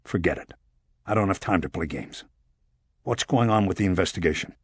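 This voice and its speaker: A male narrator plays a hard-nosed police detective in a crime audiobook. He is gruff and defiant, speaking bluntly in a husky voice. The recording is dry and close. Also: low-pitched, lively intonation, brisk, no echo, pitched below the performer's own voice. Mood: impatient, blunt. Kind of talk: audiobook character dialogue.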